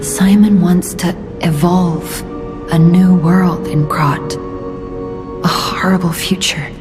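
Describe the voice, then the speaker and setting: An actress playing a gentle, solemn female guide in a video game, speaking gravely and sometimes in a hush. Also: on the low side, lightly acted. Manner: grave, measured, hushed at times. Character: solemn, gentle guiding woman who bears witness. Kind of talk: dramatic video game cutscene dialogue.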